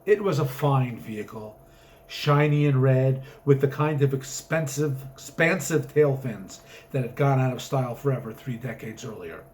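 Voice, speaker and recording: A man's voice: mid-range voice, normal speed, darkish tone. A man reading a story aloud as its author, narrating with theatrical, emphatic comic drama.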